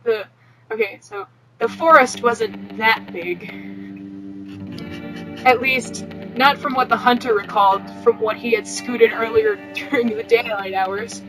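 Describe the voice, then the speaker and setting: Female podcast host, slightly high voice, informal read-aloud and chat, faint music underneath. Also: expressive, measured pace, poor audio. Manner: informal, swears freely. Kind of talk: casual chat and amateur read-aloud of fan fiction.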